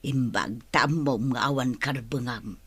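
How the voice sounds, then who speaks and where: A woman's voice: a bass voice, measured pace, fairly bright tone, breathy edge, no room sound, full character voice. A woman with an Ilocano accent acting a blunt, money-minded servant in a radio comedy drama. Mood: dismissive.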